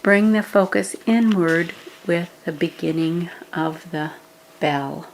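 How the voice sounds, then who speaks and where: A woman leading a guided meditation, giving scripted instructions in a gentle, measured manner with pauses. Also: fairly low pitch, a little hiss or hum, poor audio.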